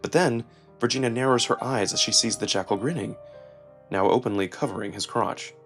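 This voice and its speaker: A man's voice: medium pitch, a little fast, a bit bright, soft background music. A man giving a dramatic scripted narration of a visual novel as narrator and character performer.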